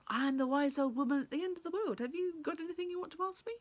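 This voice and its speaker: A little old wise woman of storybook kind, voiced by a woman. She speaks high and briskly, with a knowing, inviting manner. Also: close to an everyday voice.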